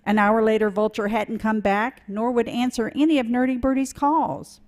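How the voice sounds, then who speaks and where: A woman reading a children's story aloud during a church service, slow and expressive. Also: on the high side.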